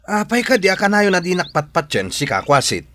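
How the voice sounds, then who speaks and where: A man's voice: high-pitched, big pitch swings, a little fast, pushed and bright, slight background noise, heavily acted. An actor with a Filipino accent playing a brash, teasing young village man in an audio drama, taunting and exclamatory. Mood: teasing, gruff. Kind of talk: Ilocano audio drama acting.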